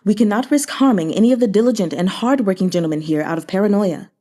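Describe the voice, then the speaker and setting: A woman voicing a shrewd, unreadable male commander in a historical novel, calm, formal and deliberate. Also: mid-range voice, close to an everyday voice. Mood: composed, shrewd.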